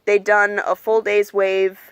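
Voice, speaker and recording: A young adult woman chatting candidly in a casual advice vlog, with plenty of ums and likes, and she calls her own voice monotone. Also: medium pitch, slow, smooth, dry recording, studio quality. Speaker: vlogger giving application advice.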